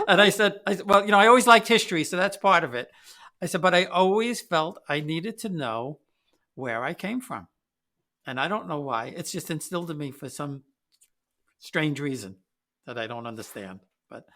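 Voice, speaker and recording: A man hosts a casual podcast conversation in a friendly manner. His voice is bright and forceful, sitting a little high for a man. He talks haltingly, with plenty of fillers between thoughts. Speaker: podcast host.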